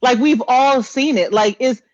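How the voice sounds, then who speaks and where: A woman's voice: high voice, a little slow, a little mellow, no room sound. A woman co-hosting a podcast in casual, opinionated chat, repeating phrases emphatically to drive her points home. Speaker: podcast co-host. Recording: dull, lo-fi close dry audio.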